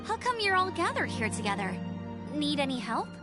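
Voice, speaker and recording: A woman voicing a bubbly, energetic and friendly teenage girl in an anime-style video game. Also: high voice, moderate pace, over loud music. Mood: friendly, concerned. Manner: bubbly, eager.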